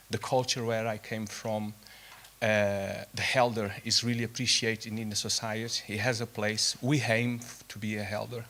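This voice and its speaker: Man presenting at a conference, first language Portuguese, low-pitched, bright tense tone. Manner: self-deprecating, halting with fillers. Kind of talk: nervous, earnest conference talk. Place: conference hall.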